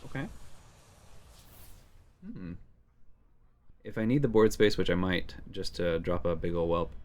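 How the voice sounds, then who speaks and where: A man giving casual strategy commentary on a card game, thinking out loud in musing asides. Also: low-pitched, expressive, a little slow, a little hiss or hum. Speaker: game streamer. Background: game music.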